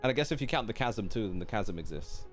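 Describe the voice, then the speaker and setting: A man's voice: lowish voice, expressive, fast talker, soft background music. A man streaming a game, chatting and reacting casually in a playful, rambling way.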